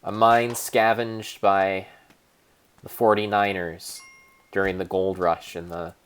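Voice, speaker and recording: A man improvising a mock-dramatic narration of a game in a deadpan storytelling manner, often stopping to correct himself. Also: low-pitched, slight background noise. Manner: deadpan storyteller, halting restarts and self-corrections.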